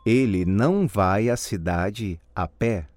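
A man giving scripted model answers in a language drill with careful, deliberate enunciation. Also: on the low side, noisy background. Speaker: language course model speaker.

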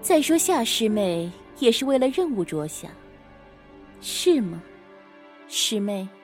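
An unhurried, highish female voice over soft music. a senior assassin in a costume audio drama, teasing and self-assured, with a wistful side. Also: big pitch swings. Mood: cool, amused.

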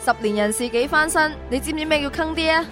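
A woman's voice: high-pitched, fast talker, lightly acted. A woman playing a wry, bossy chief who teases and scolds her young agent in a radio drama. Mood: teasing, scolding. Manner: teasing, scolding, authoritative. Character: wry, bossy female police chief, older than staff. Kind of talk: Cantonese sci-fi radio drama dialogue. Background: music.

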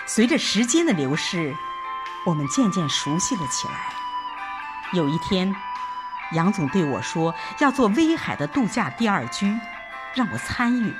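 A woman reading a scripted first-person audio drama narration in an expressive, reflective storytelling manner. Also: on the low side. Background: background music.

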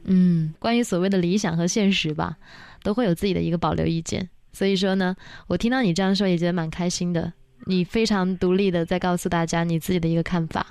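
A woman hosting a radio call-in show, gentle and encouraging with her callers. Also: fairly low pitch, fairly even intonation, fast talker, creaky, clean tone, dry recording. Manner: gentle, encouraging, soft-spoken. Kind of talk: late-night radio call-in chat.

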